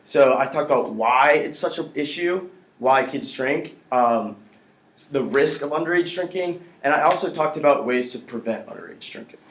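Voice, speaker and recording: A young American man giving a prepared classroom presentation in a halting, hesitant way with frequent ums. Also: mid-range voice, lively intonation, fairly bright tone. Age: young adult. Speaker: student speaker. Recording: echoey room.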